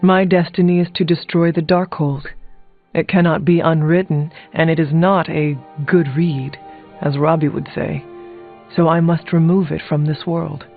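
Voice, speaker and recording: An actor playing a stoic, fatalistic warrior hero in a video game, speaking in terse, formal, solemn sentences. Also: male voice, a little high, steady tone, normal speed, creaky. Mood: grimly resolved. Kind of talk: scripted video game character dialogue. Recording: tinny band-limited audio with music.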